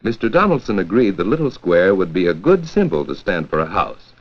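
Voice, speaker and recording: An American man narrating a 1940s educational film from a script, with a clear, measured storytelling delivery. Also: low-pitched, sing-song, faint music behind. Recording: dull, lo-fi 1940s 16mm film soundtrack.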